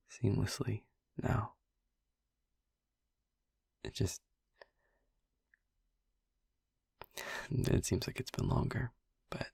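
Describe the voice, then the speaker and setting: A man playing a brooding, tender vampire boyfriend in an intimate roleplay audio drama, speaking softly and haltingly in a breathy voice that often nears a whisper. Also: fairly low pitch, dry recording, heavily acted. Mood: apologetic, tender. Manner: halting, soft, hesitant, with long pauses. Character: brooding, tender male vampire boyfriend, haunted by his turning.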